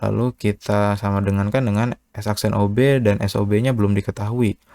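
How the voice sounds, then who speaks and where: A methodical male tutor with a fairly low voice, explaining one step at a time. His voice-over sounds close and dry, with no room echo. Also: steady tone, brisk, warm tone. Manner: patient, methodical, with filler "eh" and "ya". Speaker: online tutor. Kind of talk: step-by-step physics problem walkthrough.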